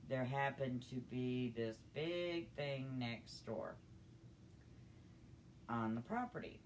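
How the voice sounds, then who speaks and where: A woman's voice: rumbling low, lively intonation, takes it slowly. Older woman reminiscing, noticeable background noise. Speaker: storyteller grandmother. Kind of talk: personal reminiscence, conversational storytelling.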